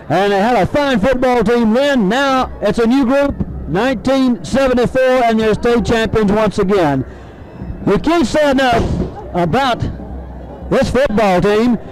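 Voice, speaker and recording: A man's voice: very high-pitched, low-quality recording. A man with a Southern US accent giving folksy, emphatic football color commentary on a 1970s radio broadcast.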